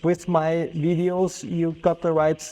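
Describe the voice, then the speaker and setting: A man with an Italian accent, a violinmaker hosting a casual interview in his workshop, eager and animated in slightly broken English. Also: a little high, unhurried, low-quality recording. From Italy. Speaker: violinmaker and host.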